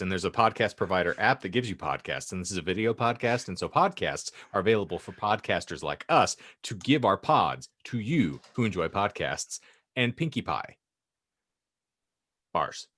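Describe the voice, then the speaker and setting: A man's voice: lowish voice, big pitch swings, moderate pace, a bit bright. A man hosting a podcast in joking banter, with a wordy, mock-formal manner and teasing sarcasm. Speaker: podcast host.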